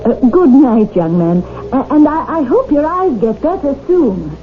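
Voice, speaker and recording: An actress playing a brisk, blunt but kindly woman passerby in a radio drama, with an exclamatory, colloquial way of talking. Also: high-pitched, very animated, music in the background. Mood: contrite, helpful. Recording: tinny, faintly noisy old radio broadcast.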